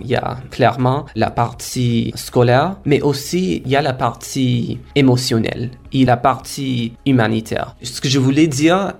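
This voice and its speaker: A man's voice: mid-range voice, creaky. A young man from India, a native Korava speaker, giving an earnest and reflective personal account. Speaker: language learner and teaching assistant.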